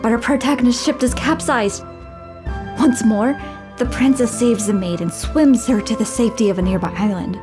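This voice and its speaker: A woman voicing an earnest young female adventurer companion in a game, with an expressive storytelling manner. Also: a little high, moderate pace, over music, lightly acted.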